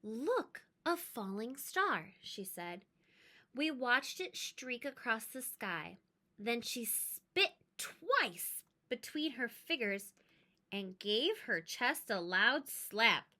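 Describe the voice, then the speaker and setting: A woman reading a children's storybook aloud as a storyteller, with dramatic, expressive emphasis. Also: on the high side, takes it slowly. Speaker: read-aloud storyteller, teacher.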